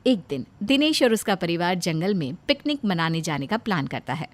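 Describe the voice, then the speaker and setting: A woman's voice: lowish voice, sing-song, moderate pace. A woman reading scripted narration as a storyteller.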